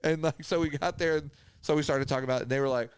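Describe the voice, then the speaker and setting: Animated man swapping stories, dry recording. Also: a little high, rapid-fire, clear, resonant tone, no echo. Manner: animated retelling with acted-out quotes, swearing. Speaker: podcast host. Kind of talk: casual chat, anecdotal storytelling.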